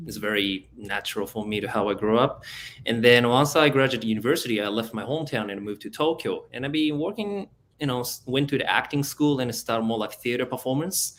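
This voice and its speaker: A man from Japan with a Japanese accent, an actor and martial artist answering interview questions in a halting way while he searches for words. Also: medium pitch, fairly bright tone, a little hiss or hum.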